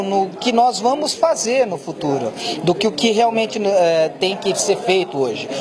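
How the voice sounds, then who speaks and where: A man in his thirties with a Brazilian accent, answering an interview with earnest, halting speech and repeated words, into a handheld mic in an auditorium. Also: sits high. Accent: Brazilian Portuguese. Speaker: environment secretary. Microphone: handheld reporter mic.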